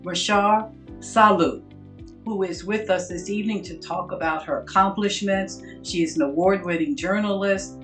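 A woman's voice: medium pitch, moderate pace, fairly bright tone, soft background music. An older woman, a professor, hosting a formal interview show on a video call and speaking proudly of a former student. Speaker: host and professor.

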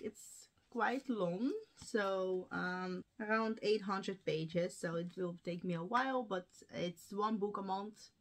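Chatty young woman, Russian native speaker. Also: mid-range voice. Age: young adult. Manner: chatty, with fillers and small laughs. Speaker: book vlogger. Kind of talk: casual talk to camera. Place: home room with bookshelves. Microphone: camera framing, seated at desk.

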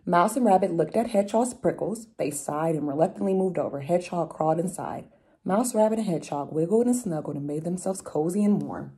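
Low, husky young woman reading to children. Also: a little fast. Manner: expressive, explaining to young listeners. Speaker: storyteller reading a picture book aloud. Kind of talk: children's read-aloud with chatty asides. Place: room at home, indoors.

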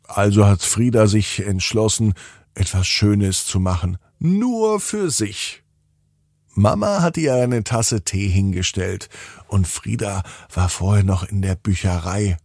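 Male children's storyteller: slow, playful bedtime narration, husky tone, very dry sound, dramatic pauses. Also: lowish voice, a little mellow, no echo. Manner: deliberate, playful, with drawn-out words and dramatic pauses.

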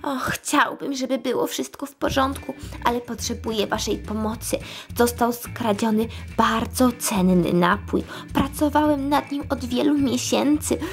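Casting: kindly old male leader played by a woman, worried, earnest, unhurried, children's storybook. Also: fairly high pitch, creaky, soft background music. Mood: worried, pleading.

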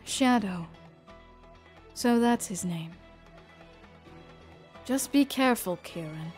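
A woman voicing a thoughtful young adventurer in an anime audio drama, phrasing things deliberately with pauses. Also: mid-range voice, animated, a little mellow, faint music behind. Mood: wary, resolute.

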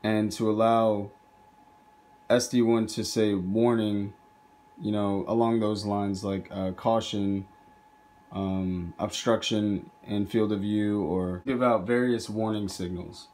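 A man with a fairly low voice talks through a homemade project. He presents it casually as a video host, recorded indoors on a handheld camera. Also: steady tone, slow, a little mellow. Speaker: video host, hobby robot builder. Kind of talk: casual narrated project demo.

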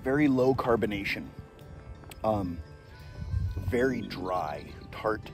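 A man's voice: on the low side, takes it slowly, over music. A man, chatting casually on a boat on a lake while he vlogs about fishing and tastes drinks. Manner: relaxed, laid-back tasting commentary. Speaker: fishing vlogger. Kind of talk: casual outdoor vlog chat.

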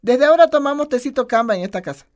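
A woman's voice: high-pitched, lively intonation, fast talker, a little mellow. A woman playing a folksy, affectionate elderly Bolivian grandmother in a radio drama. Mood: reassuring. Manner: affectionate, folksy, uses many diminutives.

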